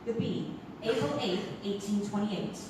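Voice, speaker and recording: A woman's voice: medium pitch, a little slow, natural voice. A woman playing a formal nineteenth-century reporter, reading a news report theatrically. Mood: grave, formal. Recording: echoey noisy theatre, far from mic.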